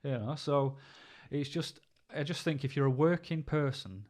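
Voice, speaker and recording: A man's voice: fairly high pitch, moderate pace, darkish tone. A man from Manchester, co-hosting a casual podcast chat and ranting with put-on voices for other people. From England, Manchester. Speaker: podcast co-host.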